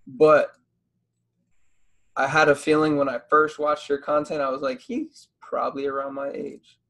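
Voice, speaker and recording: A man's voice: fairly high pitch, flat delivery, normal speed, creaky, a bit bright. A man in his early twenties with an American accent, hosting a casual interview in slangy, informal phrasing. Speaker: podcast host.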